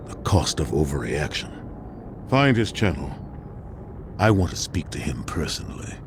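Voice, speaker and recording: An actor playing a stern, older military commander in a video game, grave and measured, with authority in every phrase. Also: male voice, rumbling low, big pitch swings, noisy background, heavily acted. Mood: bitter, angry. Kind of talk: cinematic game dialogue.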